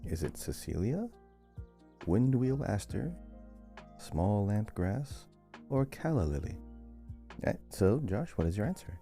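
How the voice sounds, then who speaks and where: A man co-hosting a casual gaming quiz podcast, reading questions out in a measured, deliberate way. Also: fairly low pitch, sing-song, mellow, faint music behind. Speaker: podcast co-host and quiz reader. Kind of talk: casual video game lore quiz podcast.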